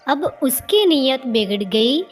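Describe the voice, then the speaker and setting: A woman's voice: high voice, expressive, takes it slowly, soft background music, exaggerated voice. A woman voicing a small, earnest female bird who explains herself in a cartoon story. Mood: pleading.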